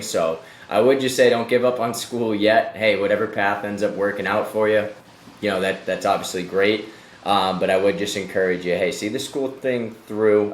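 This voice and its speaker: A man in his late twenties with a lowish voice, talking in a chatty, encouraging way as an interview host. He is heard over a video call in a roomy space with a faint hum behind him. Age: late 20s. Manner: upbeat, encouraging, chatty with fillers. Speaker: interview host, company executive. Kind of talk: casual remote interview.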